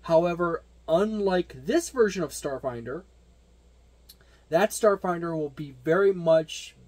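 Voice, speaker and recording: Higher-voiced man giving a halting casual review. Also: lively intonation, darkish tone. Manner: halting, digressive delivery. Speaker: tabletop gaming reviewer. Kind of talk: casual unscripted product overview.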